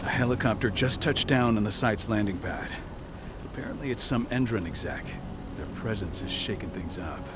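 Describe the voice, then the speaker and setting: An actor voicing an urgent, commanding comrade who gives terse, authoritative mission briefings in a video game. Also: male voice, fairly low pitch, normal speed, very noisy, lightly acted. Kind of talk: video game cutscene acting.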